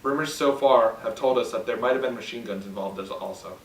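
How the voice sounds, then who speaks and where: A man's voice: fairly low pitch, a little fast. Young man rattling through radio news, echoey and far from the mic. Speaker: news announcer in a student project. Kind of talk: scripted amateur radio news report. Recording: echoey, distant mic, faint noise.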